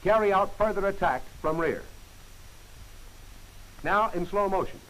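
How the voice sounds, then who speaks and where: A man giving scripted instructional narration for a training film, in crisp, clipped commands. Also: high voice, a little slow, a bit bright, low-quality recording. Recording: hissy old film soundtrack.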